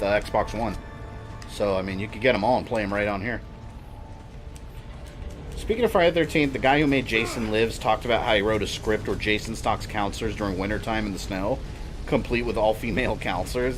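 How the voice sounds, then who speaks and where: A man's voice: on the low side, a little fast, over music. A man in his thirties chatting casually and rambling while he streams a video game. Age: 30s. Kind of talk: casual chat while gaming.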